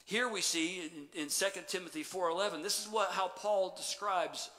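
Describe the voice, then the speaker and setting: A middle-aged man preaches from the stage of a church sanctuary, his voice bright and a little high. He moves between animated storytelling and quiet, intimate emphasis. The long church reverb trails after each phrase. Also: normal speed. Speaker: pastor. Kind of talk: sermon.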